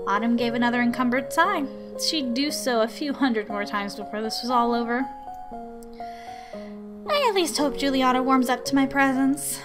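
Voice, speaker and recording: Visual novel narrator read by a woman, first-person, wry and anxious, high pitch over music. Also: animated, normal speed. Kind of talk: scripted narration read aloud.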